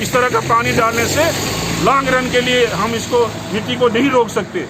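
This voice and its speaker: A man making an impromptu, insistent political complaint as a former legislator, repeating and listing his points. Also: very high-pitched. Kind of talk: impromptu outdoor political statement to camera. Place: outdoors beside a road. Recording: clear outdoor noise with passing vehicles.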